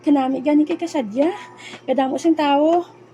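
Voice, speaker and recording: A woman in a comedic audio drama playing a sensible young granddaughter who teases and gently scolds. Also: high-pitched, steady tone, normal speed, highs cut off, a little hiss or hum, lightly acted. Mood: teasing, chatty.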